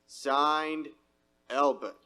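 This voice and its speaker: A man's voice: fairly high pitch, drawn-out words, sharp tone, clean tone, full character voice. A man playing a formal, businesslike telegram clerk in a live 1930s-style radio drama, reciting methodically. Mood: impassive recitation.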